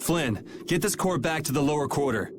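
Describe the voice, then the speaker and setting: A man's voice: a little high, expressive, normal speed, noisy background, close to an everyday voice. A man playing a cocky, laid-back young rebel swordsman in an anime video game. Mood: resolved, casual.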